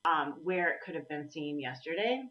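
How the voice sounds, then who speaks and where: Chatty woman co-hosting over a muffled line. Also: expressive. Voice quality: low husky voice today. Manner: chatty, laughing, quick asides. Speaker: co-host. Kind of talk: casual live-stream chat. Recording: distant, dull lo-fi sound.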